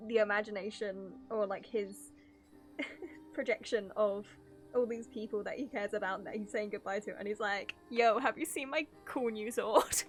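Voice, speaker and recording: A woman livestreaming a game, reacting in an animated, giggly way. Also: medium pitch, moderate pace, soft background music. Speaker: streamer. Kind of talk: live game reaction commentary.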